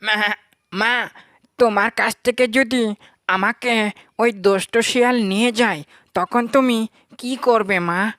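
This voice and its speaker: A woman's voice: fairly high pitch, slow, pauses often, fairly bright tone, dry recording, clean studio sound. A woman voicing a frightened little baby goat in a cartoon, pleading with its mother. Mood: anxious. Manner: pleading, repeating cries for mother. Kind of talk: cartoon voice acting.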